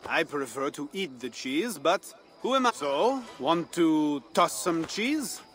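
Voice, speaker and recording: A man's voice: fairly high pitch, sing-song, takes it slowly, fairly bright tone, soft background music, full character voice. An actor playing a wry, flirtatious Frankish festival man in a video game, playful and theatrical. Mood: showy, amused. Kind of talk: video game cutscene acting.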